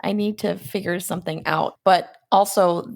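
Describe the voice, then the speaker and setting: An American woman, a comedian guest, talking in casual podcast chat in an animated, self-mocking way. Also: on the low side, normal speed, gravelly.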